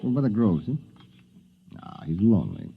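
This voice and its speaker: Easygoing male time traveler, wry, very lively pitch, husky; dull vintage radio recording. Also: a little fast, full character voice. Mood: musing. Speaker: Wes, time traveler stranded in prehistory. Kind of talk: 1950s radio drama acting. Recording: dull lo-fi 1950s radio broadcast.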